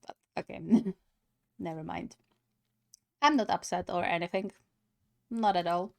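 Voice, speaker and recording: A woman's voice: on the low side, unhurried, gravelly. A woman hosting a gaming reaction video with casual, playful, musing commentary. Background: music.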